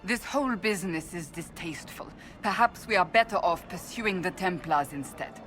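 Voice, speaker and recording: A woman's voice: lowish voice, moderate pace, a bit bright, faint music behind, lightly acted. A woman playing a stern, suspicious warrior in a video game, with a severe, formal manner. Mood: disapproving. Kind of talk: video game dialogue acting.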